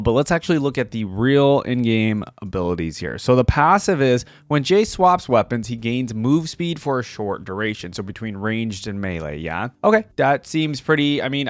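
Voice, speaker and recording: A man's voice: medium pitch, no room sound. A man giving casual gaming reaction commentary as a video host, thinking aloud in a conversational way.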